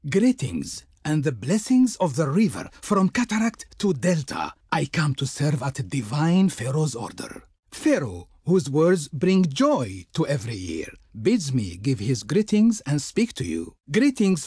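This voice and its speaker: An actor playing a proud, ceremonious Egyptian emissary in a video game, declaiming flowery, servile words with formal reverence. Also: male voice, on the high side, darkish tone, breathy edge, no echo, studio quality, exaggerated voice. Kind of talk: theatrical video game character dialogue.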